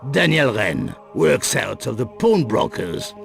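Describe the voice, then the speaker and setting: An actor playing a wary, menacing underworld boss in a video game, guarded and dismissive in manner. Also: male voice, medium pitch, big pitch swings, slow, gravelly, soft background music, heavily acted. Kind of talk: video game cut-scene acting.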